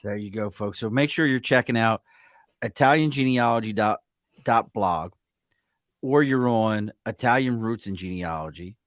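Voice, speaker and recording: A man's voice: on the low side, normal speed, no room sound. Casting: male podcast host, Baltimore accent, joking banter, dry close-miked sound. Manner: jokey, animated, breaks into mock voices. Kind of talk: casual interview chat.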